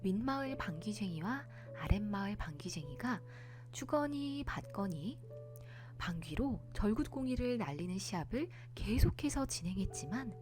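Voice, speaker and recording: Lady reading kids bedtime folktale, highish voice, super expressive, polite, soft music under. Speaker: storyteller. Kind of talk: children's bedtime folktale narration.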